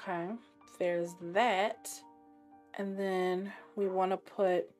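A woman with a raspy voice and a sore, scratchy throat, chatting casually while narrating her gameplay and thinking aloud. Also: mid-range voice, little pitch movement, slow pace, darkish tone, soft background music. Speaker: game streamer.

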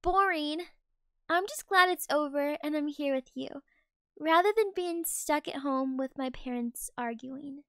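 Lonely kind teen girl played by a woman; very high, bright, slow-spoken. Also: no echo, clean studio sound. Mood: weary. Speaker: voice actor. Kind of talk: amateur voiced game movie.

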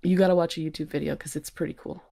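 A woman's voice: sits low, fairly even intonation, racing, vocal fry, mellow. A woman speaking as a podcast guest, explaining things in casual, plain conversational talk. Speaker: podcast guest, insect research technician.

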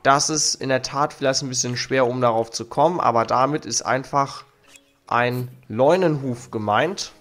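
A man's voice: medium pitch, normal speed, fairly bright tone. A young man with a cold, hosting a let's play and commenting casually on his game while reading its text aloud. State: has a cold. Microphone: studio mic on arm. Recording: faint game music behind.

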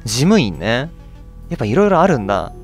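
A man gives casual commentary as he plays through a game. His mid-pitched voice moves around in lively intonation, with music behind it. He slips between casual and polite phrasing as he addresses viewers. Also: normal speed. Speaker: let's play host. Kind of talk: casual game playthrough commentary, reading on-screen text aloud.

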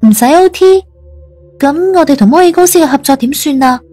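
Woman acting a high, timid Cantonese assistant. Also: fairly quick, mellow, faint music behind, lightly acted. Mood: surprised, worried. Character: flustered, timid female office assistant.

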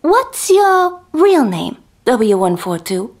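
A woman acting as a skeptical fifties housewife in a radio play. The voice is high and swoops around, drawing words out slowly as it needles. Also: sing-song, heavily acted. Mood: needling. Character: skeptical, needling 1950s agent's wife. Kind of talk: 1950s radio drama acting.

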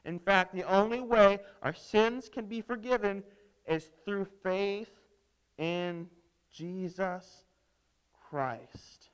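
High-voiced man preaching theatrically to children. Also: clean tone, low-quality recording. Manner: theatrical, emphatic, with dramatic pauses and rhetorical questions. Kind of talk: dramatic storytelling sermon for children. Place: indoors at a lectern on a stage set.

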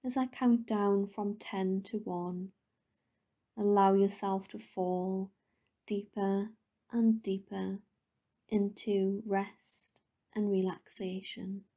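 Female meditation guide: mellow, gentle, breathy at phrase ends, level intonation, long unhurried pauses. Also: medium pitch, steady tone.

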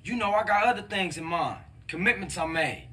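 A young street gangster in a game cutscene, put-upon yet loyal to family. The voice is a little high and clearly bright. He speaks defensively, in casual slang. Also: expressive, fairly quick, a little hiss or hum. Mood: hesitant. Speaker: video game character.

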